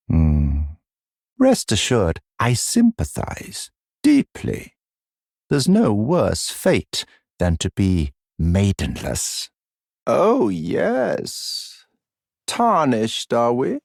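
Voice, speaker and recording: Smug fantasy game mentor, low-pitched, creaky, mock-sympathetic, theatrical, sinister, condescending. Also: male voice, darkish tone, no room sound, studio quality, exaggerated voice. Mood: mock sympathy. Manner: mock-sympathetic, theatrical, drawn-out deliberate phrasing.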